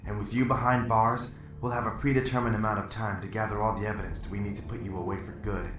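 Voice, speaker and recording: A man's voice: lowish voice, flat delivery, fast, recorded from a distance, lightly acted. A man playing a stern, exasperated male police detective running an interrogation, recorded roomy and off-mic with music under it. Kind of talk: amateur radio drama acting.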